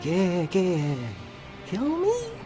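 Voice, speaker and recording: A man voicing a grandiose, excitable hippie cult guru in a video game, preachy and theatrical. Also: high voice, very slow, over music, full character voice. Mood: incredulous. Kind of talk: video game cutscene voice acting.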